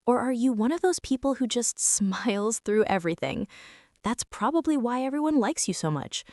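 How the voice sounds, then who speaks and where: A woman's voice: a little high, lively intonation, normal speed, mellow, no room sound, studio quality, lightly acted. A woman playing a bratty teenage girl bully in an ASMR roleplay, whining and sarcastic as she complains straight to the listener. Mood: grumbling, uneasy. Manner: whiny, sarcastic, exasperated complaining with sighs. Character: bratty teenage girl bully, grumbling, secretly softening toward tentmate.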